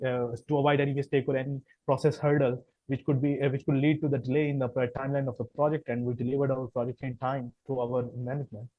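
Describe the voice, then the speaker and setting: A man of mid pitch with a Pakistani accent answers interview questions about his engineering work. He pauses often and restarts his sentences, and English is not his first language. The sound is dry and a little dull. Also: dry recording. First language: Punjabi. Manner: halting, many fillers and restarts. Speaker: guest chemical engineer.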